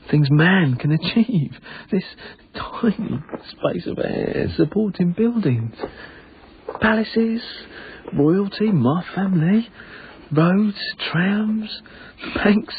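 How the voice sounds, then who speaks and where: A British actor playing an earnest, emotional young Victorian working man in a radio drama, with halting, formal phrasing. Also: high-pitched, animated, full character voice. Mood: awestruck. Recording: narrow tinny band, faint background noise.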